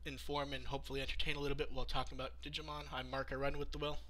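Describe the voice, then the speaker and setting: Very bright-voiced male podcast host. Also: medium pitch, little pitch movement, racing. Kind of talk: casual livestream podcast chat.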